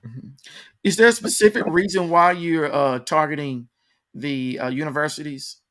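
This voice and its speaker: A man's voice: on the high side, normal speed, a bit bright. A man working as an interviewer, asking casual questions with hesitant, repeated phrasing.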